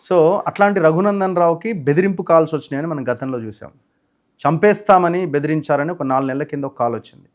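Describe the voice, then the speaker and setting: A man's voice: a little high, quick pace. A man interviewing in a conversational, probing way. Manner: probing, interjects short acknowledgements. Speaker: journalist interviewer.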